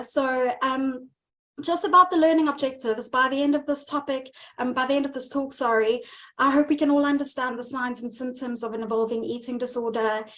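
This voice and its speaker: South African woman giving an earnest talk. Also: high voice. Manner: earnest, repeats words for emphasis. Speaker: dietitian giving a webinar. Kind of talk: presentation talk.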